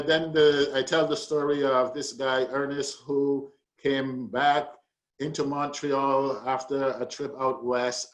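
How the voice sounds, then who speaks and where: A man speaking as an author on a discussion panel, telling stories in an emphatic, deliberate way with measured phrases. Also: mid-range voice, low-quality recording.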